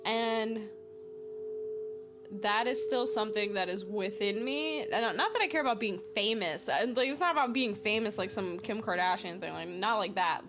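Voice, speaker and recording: A woman's voice: medium pitch, moderate pace, over music. Playful young woman rambling on a podcast. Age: 20s. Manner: rambling, playful, laughs often. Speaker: podcast host. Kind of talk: casual solo podcast monologue.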